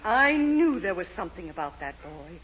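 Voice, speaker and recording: A woman's voice: on the low side, very animated, moderate pace, husky. A woman playing a gushing, scheming middle-aged landlady wife in a 1940s radio sitcom, theatrical and domineering. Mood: dramatically gushing. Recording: noisy, muffled 1940s radio recording.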